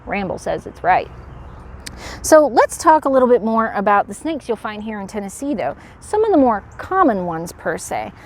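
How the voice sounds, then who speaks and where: A woman presenting as a park educator to children, with an animated manner, explaining and putting questions to young viewers. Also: mid-range voice, moderate pace, a little hiss or hum. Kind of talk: animated educational read-aloud and animal demonstration.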